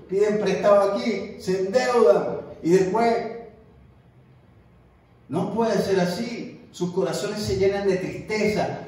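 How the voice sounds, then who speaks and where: A man's voice: high voice, a little mellow. A man preaching a sermon as an evangelist, emphatic and repeating his words for stress. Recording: echoey room, distant mic.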